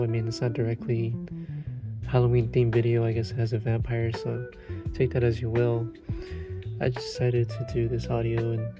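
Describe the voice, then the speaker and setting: A man who makes audio roleplays, chatting casually to his listeners in an earnest, rambling way. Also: medium pitch. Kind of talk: casual intro chat to listeners.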